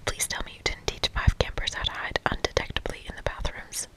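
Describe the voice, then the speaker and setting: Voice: brisk, lightly acted. Reader playing a blunt, sarcastic young magical camp girl for a game, casual, entirely whispered. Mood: exasperated. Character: sassy, blunt young female magical camper.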